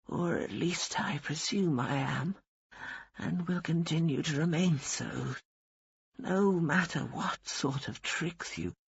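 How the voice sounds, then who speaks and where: A man's voice: fairly high pitch, vocal fry, husky, dry recording, muffled recording, pitched above the performer's own voice, exaggerated voice. Man voicing a scornful dying old nun. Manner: blunt, scornful, swearing. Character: dying old woman, cantankerous atheist nun superior.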